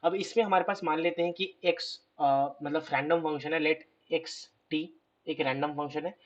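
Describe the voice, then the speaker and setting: Casual lecturing man, slightly high voice. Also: fairly even intonation, moderate pace, muffled recording. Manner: casual teaching, mixing English phrases, addressing viewers as friends. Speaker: math lecturer. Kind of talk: online lecture.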